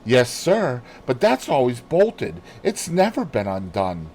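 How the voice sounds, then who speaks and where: A man's voice: medium pitch, huge pitch swings, slow, darkish tone, slight background noise, heavily acted. A man voicing an anxious, deferential female housemaid from a mystery novel, pleading and fretful.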